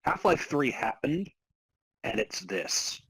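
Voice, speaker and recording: A man's voice: medium pitch, sing-song, slow pace, a bit bright, breathy edge, no room sound, poor audio. A man co-hosting a podcast in casual comic banter, joking with a dry, mock-serious manner. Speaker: podcast co-host.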